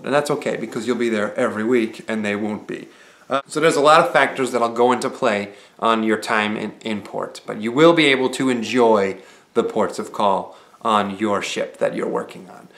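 A man's voice: lowish voice, a bit bright. A man in his thirties talking to camera in a casual vlog, explaining things plainly with frequent fillers, seated in a small room at home. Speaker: vlogger and former cruise ship entertainer. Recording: roomy echo from camera mic.

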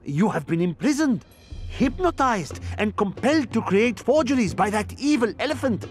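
A man's voice: sits high, sing-song, normal speed. A man playing a grumpy, sarcastic older thief in a video game, with a theatrical, weary manner. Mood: exasperated. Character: grumpy aging male thief in a turban, sarcastic complainer. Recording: music behind the voice.

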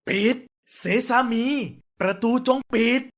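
A woman's voice: slow pace, lightly acted. A woman voicing male characters in a children's fairy tale, urgent and secretive. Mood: commanding. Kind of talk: children's storybook character acting.

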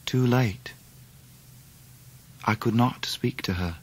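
A man's voice: medium pitch, slow pace, slightly rough. An actor playing a reflective, melancholy grown man, narrating his own life in a radio drama. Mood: regretful. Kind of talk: dramatised first-person narration.

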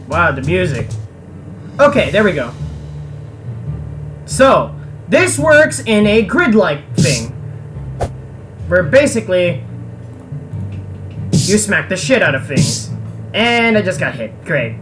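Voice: mid-range voice, very animated, slow pace, long pauses. A gamer giving casual gameplay commentary in a sarcastic, foul-mouthed, grumbling way. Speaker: let's player. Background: game music.

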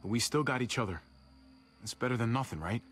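An actor playing a calm, reassuring man in his thirties, a protective survivor in a zombie video game. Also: medium pitch, fast talker, natural voice. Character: steady, protective young uncle surviving a zombie apocalypse. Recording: faint music underneath.

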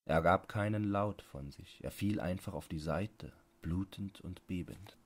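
A man narrating a literary bedtime audiobook, reading with measured, deliberate phrasing. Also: sits low, slightly rough.

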